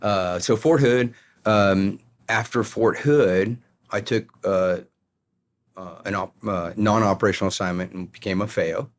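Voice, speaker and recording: A man's voice: on the low side, a little slow. A middle-aged American man telling stories from his career as a guest in a conversational interview. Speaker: podcast guest, retired army colonel.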